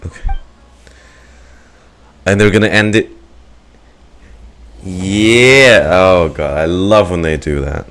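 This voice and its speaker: A man's voice: low voice, slow, long pauses, fairly bright tone, faint music behind. A man giving casual reaction commentary as a gaming streamer, musing informally and picking his words as he goes.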